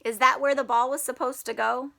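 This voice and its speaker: A woman teacher reading a story aloud to children in an animated, encouraging way, often asking them questions. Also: high-pitched, a little fast, gravelly.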